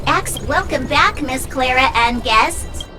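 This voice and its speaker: An actor voicing a polite robot gatekeeper in a video game, with formal, flat announcements. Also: high-pitched, slow, faint music behind, exaggerated voice. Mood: formal, impassive. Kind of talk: game voice acting.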